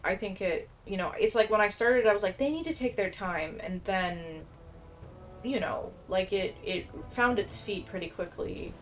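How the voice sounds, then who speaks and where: A woman's voice: mid-range voice, moderate pace, soft background music. A woman giving casual, blunt commentary as she plays a game and reads dialogue aloud. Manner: informal, blunt. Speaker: gamer narrating a playthrough.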